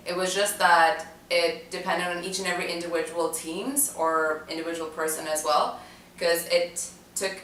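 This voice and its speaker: A young woman from Dubai with a low voice, telling her story in a loose, chatty way full of "um" and restarts. She sounds set back from the mic in an echoey room. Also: a bit bright, far from the mic, a little hiss or hum. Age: young adult. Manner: chatty, many fillers and restarts. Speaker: student interviewee. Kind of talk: casual recollection to camera.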